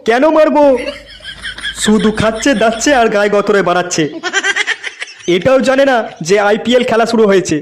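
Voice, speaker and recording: A man voicing an irritable, dismissive husband in a comic cartoon, grumbling in a pitched-up voice. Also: moderate pace, pauses often, a little hiss or hum. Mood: irritated. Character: irritable cricket-loving husband, dismissive of his wife. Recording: music behind the voice.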